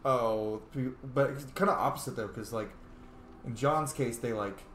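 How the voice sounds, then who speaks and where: A man's voice: medium pitch, unhurried, fairly bright tone. A man giving casual, jokey playthrough commentary on a role-playing game, riffing as he goes. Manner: jokey, riffing, easily amused. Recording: roomy echo, faint noise.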